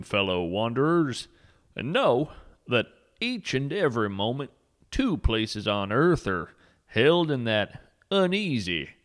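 Slow, lyrical male storyteller. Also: medium pitch, fairly bright tone. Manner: earnest, poetic, mock-solemn delivery. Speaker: podcast host and narrator. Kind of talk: whimsical scripted narration.

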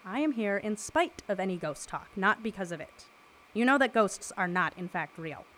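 An actress playing an exasperated, literal-minded skeptic and researcher in an audio drama, speaking in a precise, clipped, deadpan way. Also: female voice, mid-range voice, normal speed, slight background noise, natural voice. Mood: exasperated, brisk. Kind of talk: naturalistic audio drama acting.

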